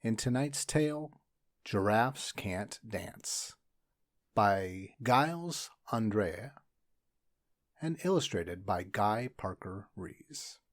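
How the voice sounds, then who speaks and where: A man reading a children's story aloud as narrator, with expressive, deliberate storytelling. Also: on the low side, no room sound.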